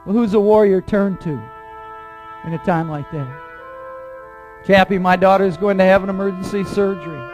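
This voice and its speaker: A man's voice: sits high, moderate pace, long pauses, darkish tone, soft background music. A male military chaplain telling first-hand stories with a rhetorical, repetitive, impassioned cadence.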